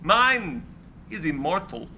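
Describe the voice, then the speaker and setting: A man's voice: sits high, big pitch swings, pushed and bright, full character voice. A man playing a brilliant, eccentric, proud and desperate inventor in a theatrical, impassioned dramatic script reading. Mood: indignant, impassioned. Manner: theatrical, impassioned, halting when agitated. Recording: live room recording with faint background noise.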